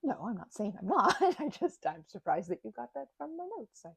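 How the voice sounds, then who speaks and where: Woman acting a timid, stammering vampire, husky and creaky. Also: fairly high pitch, very animated, fairly bright tone, no echo, full character voice. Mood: embarrassed, flustered. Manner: stammering, halting, self-effacing, often dropping to a whisper. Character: timid, grateful, nervous female vampire. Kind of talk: intimate ASMR audio roleplay.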